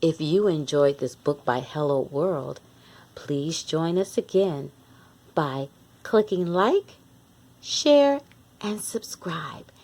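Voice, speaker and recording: A woman's voice: low-pitched, lively intonation, slow, darkish tone. A woman reading a picture book aloud to young children, speaking expressively and addressing them directly as host. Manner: acts out animal sounds. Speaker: host and reader of a children's read-along.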